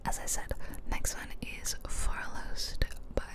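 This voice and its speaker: A woman giving playful, chatty ASMR gameplay commentary in a whisper, close to the microphone. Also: slow pace. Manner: playful, chatty, self-amused. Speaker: game streamer and ASMR host. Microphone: close ear-to-ear microphone.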